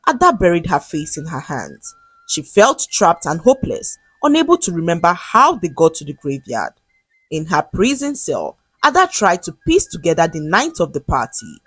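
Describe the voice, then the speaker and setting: A woman tells a dramatic folktale in a husky voice that moves up and down expressively. It is recorded close and dry, with music underneath. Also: fairly low pitch, big pitch swings, normal speed, no echo, low-quality recording. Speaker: storyteller. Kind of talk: scripted dramatic folktale narration.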